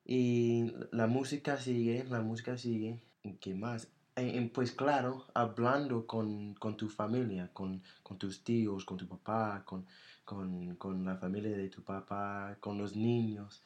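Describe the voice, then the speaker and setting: Man, low voice, slow, halting, restarts phrases, casual interview. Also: level tone, a little mellow. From United States. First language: English. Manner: halting, searching for words with many restarts. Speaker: podcast co-host.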